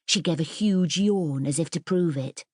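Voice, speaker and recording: Expressive woman narrator, slightly low, dry sound. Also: moderate pace, breathy edge, dry recording. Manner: expressive storytelling. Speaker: audiobook narrator. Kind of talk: scripted children's story narration.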